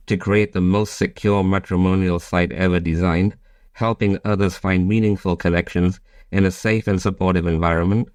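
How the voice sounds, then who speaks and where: Casting: podcast guest around fifty, very low voice, polished and formal, dry recording. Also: male voice, darkish tone, no room sound. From Bangladesh, raised in the United Kingdom. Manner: polished, formal, reads like a prepared statement. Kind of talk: prepared self-introduction, interview answers.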